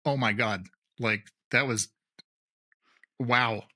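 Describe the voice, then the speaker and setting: A man's voice: mid-range voice, fairly even intonation, takes it slowly, bright, forceful tone, husky. A man hosting a podcast, chatting casually and asking playful, lighthearted questions. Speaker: podcast host. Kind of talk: casual conversation.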